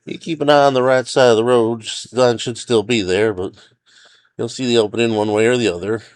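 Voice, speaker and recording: A man's voice: medium pitch, steady tone, a little fast, no room sound, pitched above the performer's own voice, close to an everyday voice. A man voicing a wary, weary forest ranger in a story, hesitant and eager to steer people elsewhere. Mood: resigned, helpful. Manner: hesitant, muttering, overly chipper when steering away. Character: weary, wary male forest ranger, hopeful then resigned.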